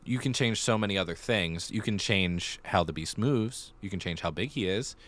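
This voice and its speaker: A man's voice: lowish voice, moderate pace, a bit bright. A man co-hosting a podcast in casual conversation, building his points through repeated phrasing. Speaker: podcast co-host.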